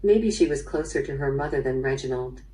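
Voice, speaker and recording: Female police interrogator: low, dark voice, businesslike and probing, radio-drama style, a bit off-mic. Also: expressive, fairly quick, faint music behind. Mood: probing, measured. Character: probing female police detective questioning a murder suspect. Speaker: voice actor in interactive audio drama. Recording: played through speaker, slightly distant.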